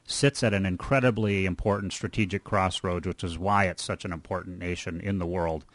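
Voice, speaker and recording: A man hosting an interview show, speaking in measured, formal phrases as he introduces topics and questions a guest. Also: deep voice, fairly even intonation, no echo. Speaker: radio show host and interviewer.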